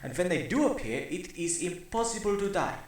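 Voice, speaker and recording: A man's voice: high-pitched, very animated, a little fast. A man putting on a pompous German-accented old villain. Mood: scornful. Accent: put-on German. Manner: haughty, scornful, lecturing. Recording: echoey roomy recording.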